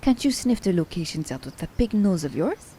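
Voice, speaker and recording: A woman playing a cunning, haughty immortal assassin in an ASMR audio drama, speaking in theatrical, formal diction, breathy and often dropping toward a whisper. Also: lowish voice, sing-song, brisk, warm tone, a little hiss or hum. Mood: sly, musing. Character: immortal female assassin, cunning, teasing, haughty, romancing her captive.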